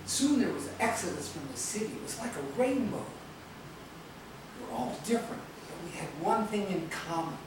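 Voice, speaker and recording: A man's voice: high voice, very animated, normal speed. A man acting an earnest, idealistic elder rebel mentor in a stage play. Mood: earnest, reflective. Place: theatre stage, recorded from a distance. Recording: noisy, echoey stage videotape from far off.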